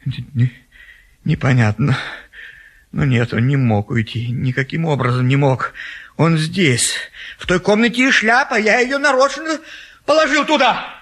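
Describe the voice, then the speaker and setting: An actor playing a frantic, meddling, blustering gentleman in a classic Russian stage comedy, muttering to himself and exclaiming. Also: a little high, measured pace. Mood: baffled muttering.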